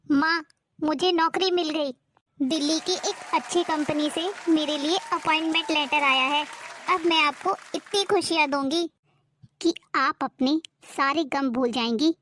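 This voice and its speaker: A woman voicing an earnest, determined young woman in an animated family drama. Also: high voice, unhurried, a little hiss or hum. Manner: earnest, pleading, eager. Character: determined, hopeful young woman, recent graduate.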